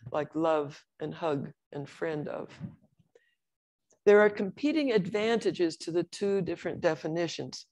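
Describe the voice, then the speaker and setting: An older woman lecturing on logic and semantics in a measured, explanatory way, with occasional restarts. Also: lowish voice, a little mellow. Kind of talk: academic lecture on slides.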